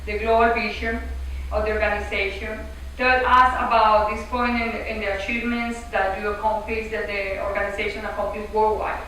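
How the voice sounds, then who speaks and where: A woman interviewing for a newspaper, asking questions with hesitant, halting phrasing. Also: medium pitch, flat delivery, a bit bright. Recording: very echoey, far from mic, faint noise.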